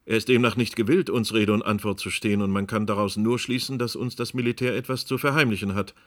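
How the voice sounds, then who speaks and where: A man's voice: lowish voice, fairly even intonation, fast, a bit bright, lightly acted. An actor playing an insistent radio presenter in a German radio play, formal and pointed as he presses his guests. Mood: pressing. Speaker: radio host. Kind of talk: German radio play as live panel programme.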